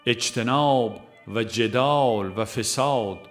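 A man reading a religious audiobook in a measured, formal, devotional way. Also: medium pitch. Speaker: audiobook narrator. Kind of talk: scripted audiobook narration of a religious text. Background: music.

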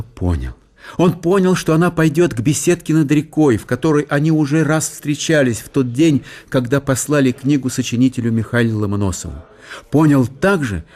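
A man reading literary narration for a radio play in an expressive, theatrical storytelling manner. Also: mid-range voice. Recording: studio radio play with faint music.

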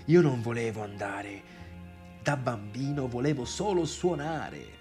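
A man's voice: medium pitch, lively intonation, a little slow, a little mellow, faint music behind, natural voice. An actor playing an awkward, needy, wounded man in a theatrical monologue, with a Tuscan accent and hesitant, repetitive phrasing. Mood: wistful. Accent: Tuscan Italian. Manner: hesitant, stammering repetitions and questions. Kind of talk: theatrical monologue, halting one-sided dialogue.

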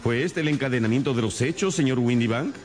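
An actor playing a shrewd, stern detective in a radio drama, speaking in a deliberate, theatrical, expository way. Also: male voice, mid-range voice, a little mellow, faint music behind, natural voice. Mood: stern, accusing.

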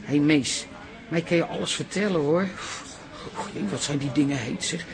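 An actress playing a caring, nosy and chatty bar regular in a Dutch radio play, expressive and coaxing. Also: female voice, sits low, moderate pace, a crowd in the background. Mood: concerned, coaxing.

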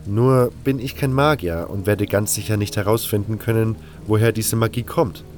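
Guy playing a blunt skeptical adventurer, lowish voice, dry and impatient, fantasy audio drama with faint music. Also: fairly even intonation, normal speed, lightly acted. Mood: reluctant. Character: curt, skeptical male convict adventurer, plain-spoken.

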